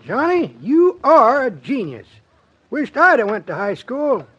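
An actor playing a slow-witted, eager old-timer with folksy bad grammar, in an old-time radio comedy on a dull vintage recording. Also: male voice, very high voice, sing-song, a bit bright, slightly rough, heavily acted. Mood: admiring, excited. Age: older. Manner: folksy, ungrammatical, drawn-out.

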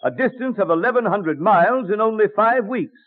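A jovial colonial gentleman in a radio play, pitched high and swinging widely. He is hearty and teasing, heard through a thin vintage broadcast. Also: lively intonation, fairly quick. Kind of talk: old-time radio drama acting. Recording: muffled old radio broadcast.

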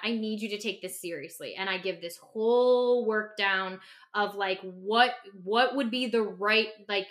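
A woman's voice: a little high, pushed and bright. A woman speaking as a podcast host and clinician in candid conversation, passionate and emphatic, repeating phrases for stress.

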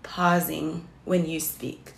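A woman co-hosting a podcast, slightly raspy and deeper-voiced, chatting casually with lots of "like" fillers. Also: little pitch movement, takes it slowly, reverberant, poor audio. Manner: chatty, many "like" fillers, laughs easily. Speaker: podcast co-host. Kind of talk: casual chat.

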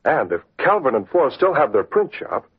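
An actor playing a decisive, authoritative masked crime-fighter in an old radio drama, with clipped delivery. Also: male voice, mid-range voice, sing-song, brisk, husky. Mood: thoughtful. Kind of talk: 1940s radio drama acting. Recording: tinny, scratchy old radio recording.

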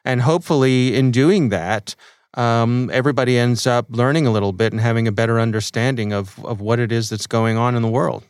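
A man's voice: mid-range voice, a little fast, smooth, no room sound, studio quality. A middle-aged man with an American accent, a podcast host talking through his own story in a conversational, thoughtful way. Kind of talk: reflective personal storytelling for a podcast.

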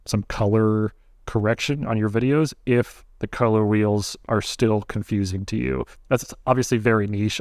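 A man's voice: on the low side, darkish tone, dry recording, studio quality. A man hosting a casual podcast, talking in a loose, chatty way with a nasal voice. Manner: chatty, loose, many "like" fillers. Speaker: podcast host. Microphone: close studio mic angled up.

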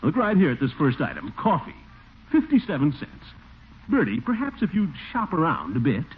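Blustery uncle, tinny old radio. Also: male voice, fairly high pitch, wildly swooping pitch, moderate pace, slightly rough, exaggerated voice. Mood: cautious, placating. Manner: fussy, exclaiming, chuckling hesitations. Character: blustery, flustered middle-aged uncle, pompous but soft-hearted. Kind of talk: 1940s radio comedy acting. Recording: tinny, noisy 1940s radio recording.

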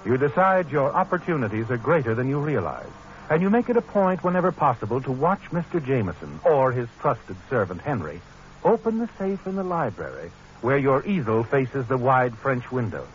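An actor playing a knowing, sardonic narrator of a 1940s radio mystery, in a polished, insinuating, dramatic manner. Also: male voice, medium pitch, big pitch swings, normal speed, a little hiss or hum, close to an everyday voice. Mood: sly, knowing. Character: knowing, sardonic male narrator addressing the schemer he watches. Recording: muffled old radio, music behind.

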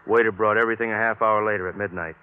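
Actor as wry, low-voiced American cop. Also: male voice, fairly even intonation, rapid-fire, lightly acted. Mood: wry, casual. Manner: wry, casual, wisecracking. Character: wry, plain-talking male police detective sidekick. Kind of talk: 1950s radio crime drama acting. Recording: narrow, tinny vintage radio recording.

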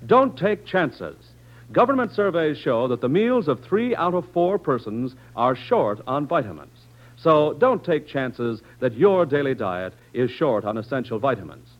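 A man's voice: medium pitch, sing-song, measured pace. An American man announcing in the scripted style of a 1940s radio commercial. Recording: dull lo-fi 1940s radio broadcast.

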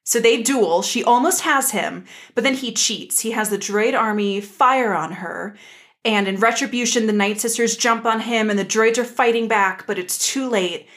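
Woman, slightly high voice, podcast co-host, casual recap, slangy, playful, enthusiastic. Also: moderate pace, fairly bright tone.

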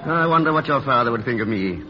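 An actor playing a charming, idle playboy artist in a vintage radio romance, speaking tenderly in a halting, self-deprecating way. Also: male voice, mid-range voice, lively intonation, a crowd in the background, noisy background, natural voice. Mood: hesitant, earnest. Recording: dull old radio recording, music behind.

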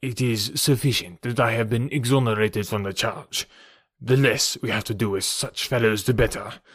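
A man's voice: medium pitch, fairly even intonation, normal speed, husky, no echo, studio quality, lightly acted. A man voicing a proud French count in an audiobook, formal and dramatic, indignant yet courtly. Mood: courteous, grateful. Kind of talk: audiobook character voice.

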